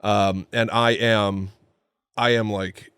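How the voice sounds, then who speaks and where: A man's voice: on the low side, level tone, slow, a bit bright, smooth, no room sound, studio quality. A man with an American accent co-hosting a podcast, chatting casually about film in an enthusiastic, emphatic way. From New England, United States. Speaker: podcast co-host.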